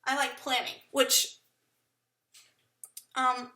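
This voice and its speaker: A woman's voice: high-pitched, monotone, extremely slow, bright, forceful tone, reverberant, far from the mic. A young woman from Portugal rambling self-consciously to the camera in a casual vlog, laughing often as she thinks aloud. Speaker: vlogger.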